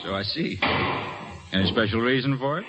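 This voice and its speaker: An American man playing a steady, thoughtful army captain in an old-time radio drama, courteous and measured in manner. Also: medium pitch, natural voice. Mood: touched, surprised.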